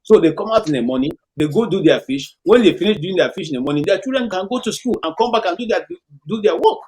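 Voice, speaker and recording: A man's voice: sits high, expressive, fast, a little mellow. A middle-aged Nigerian man telling stories emphatically and asking rhetorical questions in a conversational discussion.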